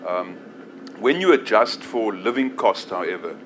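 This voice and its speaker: A man's voice: on the low side, lively intonation, measured pace, noisy background. A South African man in his forties giving a conference presentation, joking and self-deprecating, with frequent restarts. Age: 40s. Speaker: conference speaker. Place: conference session room.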